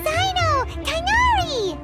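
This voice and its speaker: A woman voicing a tiny, excitable, childlike fairy companion in a video game, with an eager, squeaky delivery. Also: takes it slowly, loud music behind the voice, exaggerated voice. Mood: excited. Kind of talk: scripted video game cartoon acting.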